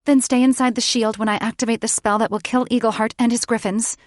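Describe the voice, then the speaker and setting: A woman's voice: fairly high pitch, moderate pace, no room sound, studio quality, pitched above the performer's own voice, lightly acted. Woman doing a frightened, urgent young heroine. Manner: urgent, pleading.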